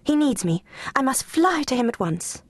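Lady doing a high breathless gushing little lapdog, dry close sound. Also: sing-song, moderate pace, slightly rough, no room sound, full character voice. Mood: tender. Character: pampered little female lapdog, sweet and tender.

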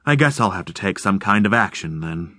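A man reading aloud, voicing a mysterious unseen stranger in the fog who speaks slowly and formally in a deep, insubstantial voice. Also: expressive, bright, forceful tone, dry recording, clean studio sound, full character voice. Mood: calm, menacing. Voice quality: deep and insubstantial, slow, measured.

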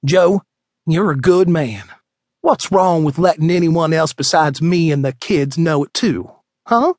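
A man voicing a bossy, folksy woman who runs a shop kitchen, scolding loudly in down-home slang. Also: on the high side, a little slow, dry recording, studio quality, close to an everyday voice. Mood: teasing.

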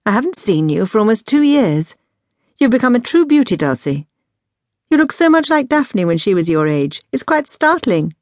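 A woman voicing a softened, sincere eldest sister in an audiobook, gentle and apologetic in manner. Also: a little high, big pitch swings, moderate pace, dry recording, studio quality, pitched above the performer's own voice. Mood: admiring. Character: softened, remorseful eldest sister, kinder after grief. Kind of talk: audiobook character dialogue.

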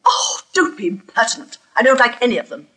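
A woman's voice: fairly high pitch, sing-song, fairly quick, a bit bright, low-quality recording. A woman playing a haughty, fussy, impatient scold in an imperious manner, in a vintage radio drama.

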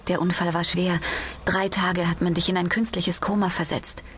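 An actress playing a caring, soothing sister at her injured brother's bedside in an audio drama, gentle and reassuring in a breathy half-whisper. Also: on the low side, level tone, moderate pace, a little hiss or hum, close to an everyday voice. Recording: clear music behind the voice. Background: music.

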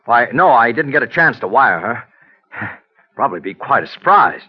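An actor playing a smooth-talking, scheming husband in a 1940s radio drama, casual and placating. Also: male voice, medium pitch, very animated, normal speed, lightly acted. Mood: feigned friendliness. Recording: muffled old radio recording, music behind.

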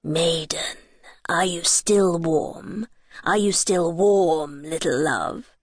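A woman voicing a snappish male frost spirit king in a storybook, with slow, drawn-out, deliberate questioning. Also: fairly low pitch, husky, dry recording, clean studio sound, pitched below the performer's own voice. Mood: menacing, insistent.

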